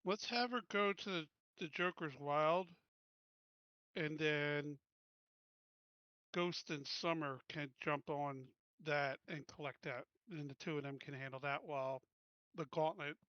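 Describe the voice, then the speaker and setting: A man with a fairly high, bright voice talks casually as a player in a tabletop game, thinking through plans. The recording is close and dry, a little dull. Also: measured pace, no echo. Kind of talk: casual game planning talk.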